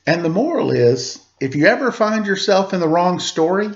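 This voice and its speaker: A man narrating a children's story as a storyteller, with dramatic, deliberate pauses. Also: high-pitched, sing-song, muffled recording.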